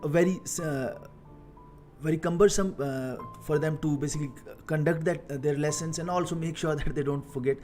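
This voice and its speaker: A man in his thirties from India with an Indian accent, talking casually on a coding live stream, halting with many fillers and repeated words. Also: fairly high pitch, steady tone, dark tone, faint music behind. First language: Hindi. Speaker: live-streaming software engineer.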